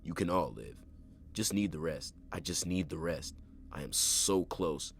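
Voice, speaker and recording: A gravelly-voiced man doing a murderous male stalker, wheedling and unhinged, pleading in a coaxing tone that curdles into threats. The voice is low and rough, with clear noise behind it. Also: lively intonation, a little slow, heavily acted. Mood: wheedling menace. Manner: coaxing then threatening, repetitive.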